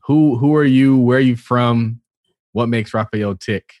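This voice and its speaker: A man's voice: mid-range voice, a little slow, darkish tone, no room sound, studio quality. A man co-hosting a podcast, bantering casually and putting questions to his co-host.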